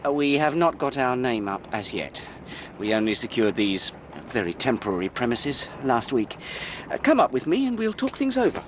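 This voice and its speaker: A man acting in a period radio drama, playing a hearty, persuasive company recruiter and smooth swindler, with a British accent and grandiloquent manner. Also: mid-range voice, big pitch swings, moderate pace, slight background noise, lightly acted. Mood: brisk, polite. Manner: effusive, theatrical, grandiloquent.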